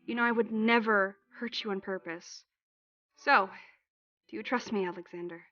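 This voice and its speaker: A woman's voice: medium pitch, moderate pace, a bit bright, lo-fi sound, lightly acted. Decisive young woman acted in fantasy roleplay. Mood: serious, reassuring. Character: loyal, decisive young woman, hero's best friend and planner. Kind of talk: fantasy audio roleplay acting.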